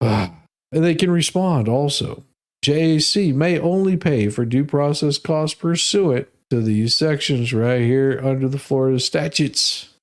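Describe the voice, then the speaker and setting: A man's voice: mid-range voice, a little slow. An American man reading documents aloud as a host, with casual, sarcastic asides and a folksy manner.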